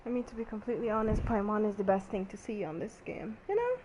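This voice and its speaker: A woman's voice: medium pitch, very animated, fast talker, dark tone, slight background noise, low-quality recording. A woman livestreaming a game, chatting casually as she comments. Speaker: game streamer.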